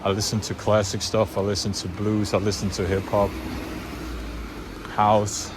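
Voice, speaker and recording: A man's voice: on the low side, flat delivery, a little slow, noisy background. A young Swiss man with a Swiss German accent, rambling casually to camera in a car, full of fillers and restarts. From Switzerland. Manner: loose, unscripted, many fillers and restarts. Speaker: vlogger.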